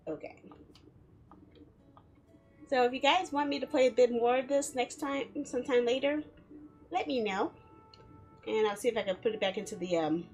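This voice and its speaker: Woman game streamer, faint music behind. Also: a little high. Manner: giggly, playful, chattering to herself. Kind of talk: casual livestream chat with visual novel read-alouds.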